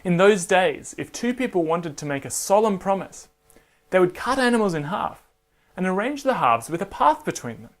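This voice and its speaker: A man hosting and narrating a scripted Bible story for children in an expressive manner, addressing listeners directly. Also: sits high, moderate pace. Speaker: storyteller and host.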